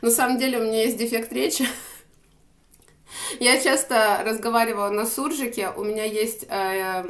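A young woman with a Ukrainian accent rambles through a shopping haul in a fairly high voice, chatty and quick to laugh. She talks into a phone held at arm's length in a small room, and the sound bounces around with a noticeable echo. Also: steady tone, a bit bright. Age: young adult. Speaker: vlogger. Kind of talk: casual shopping-haul chat. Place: small room indoors by a window. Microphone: phone camera at arm's length. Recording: echoey room.

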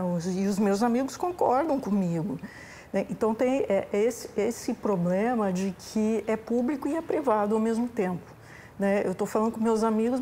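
A woman's voice: mid-range voice, mellow, a little hiss or hum. A middle-aged Brazilian woman explaining conversationally as an interviewed expert, often adding tag questions, on a lapel mic indoors. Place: indoors, library room.

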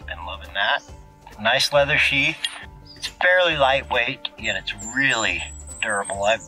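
Middle-aged male outdoors vlogger, mid-pitched, easygoing and jokey, open-air sound with soft music under. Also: measured pace, low-quality recording. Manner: relaxed, plainspoken, jokey. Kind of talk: casual gear review to camera.

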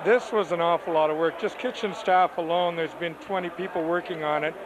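A relaxed male chef with a fairly high voice, casually walking through a list of dishes at a banquet. The camcorder audio picks up plenty of party noise behind him. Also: fairly quick. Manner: relaxed, listing items. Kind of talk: casual chat, describing dishes. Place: indoor banquet hall at a party.

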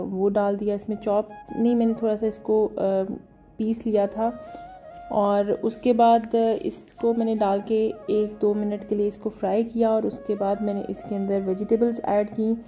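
A woman in her thirties calmly narrating her busy day as a mother, talking unhurriedly with frequent pauses and fillers and mixing in English words. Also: mid-range voice, lo-fi sound, faint music behind. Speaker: vlogger, mother.

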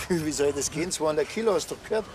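A dry, bossy Bavarian village policeman, played by a man in a TV crime comedy, grumbling sarcastically in a slightly high male voice. Clear location noise surrounds him. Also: fast, close to an everyday voice. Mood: sarcastic, impatient. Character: grumpy, sarcastic small-town Bavarian policeman. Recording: noisy location sound.

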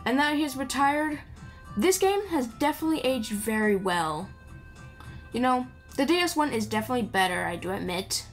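High-voiced woman playing a video game. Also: lively intonation, moderate pace, faint music behind. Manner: playful, rambling. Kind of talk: casual gameplay commentary.

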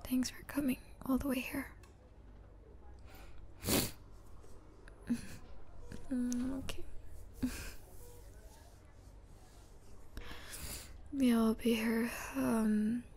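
A woman playing an anxious, grieving young adult in an ASMR roleplay, speaking in a hushed, breathy, halting way. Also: a little high, little pitch movement, slight background noise. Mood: subdued, fond. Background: hospital ambience.

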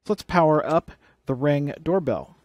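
A man's voice: a little high, lively intonation, moderate pace, no room sound. A man presenting a hands-on electronics how-to, explaining things plainly and step by step. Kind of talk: hands-on tutorial demonstration.

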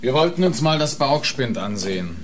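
Low-voiced male actor as polite detective sergeant, curious, conversational, lively melody, noisy radio drama recording. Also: brisk, natural voice. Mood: polite, probing. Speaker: actor in a radio crime play. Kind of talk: radio crime drama acting.

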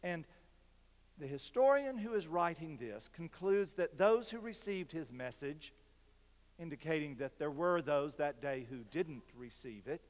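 An older man delivers a sermon from the pulpit at a very unhurried pace, pausing between phrases. His voice sits a little high for a man, and it rings out with a long reverb through a large church sanctuary. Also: animated. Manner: slow, measured preaching with dramatic pauses. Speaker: pastor.

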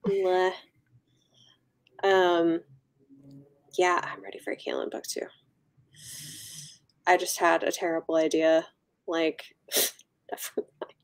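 A woman's voice: lowish voice, level tone, takes it slowly, creaky, long pauses. A young adult woman co-hosting a book livestream in a casual, musing way, often trailing off. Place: room at home, indoors.

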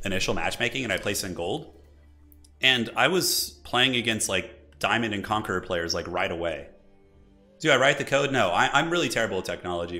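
A male livestreamer talks informally to his viewers, thinking aloud as he goes. His voice is forward and bright, at an average pitch, with a slight rasp from a scratchy throat. Faint music plays behind his enthusiastic commentary. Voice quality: slightly raspy voice. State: irritated throat, clearing it. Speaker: livestreamer, esports website organizer. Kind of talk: casual live game-stream commentary, chatting with viewers.

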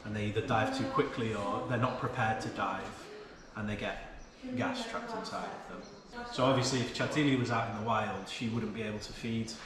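A man's voice: on the low side, normal speed. A man with an English accent, a worker at a sea turtle rescue centre, patiently explaining to a visitor with the odd "um". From England. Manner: patient, informative, with filler "um"s. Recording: very echoey, loud crowd behind.

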